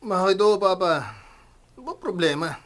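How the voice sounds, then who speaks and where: A man's voice: high voice, lively intonation, normal speed. An actor playing a rebellious, drunk young son in a radio drama, insolent and sarcastic as he brushes people off. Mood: sullen, drowsy.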